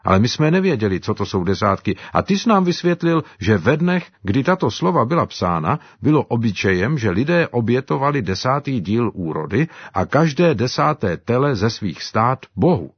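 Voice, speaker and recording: Jubilant devout villager, played by a man, high and eager in tone, husky and dull-sounding. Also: hardly any pauses, dry recording. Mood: earnest. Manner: excited, exclaiming. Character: cheerful grateful African man, warmly devout.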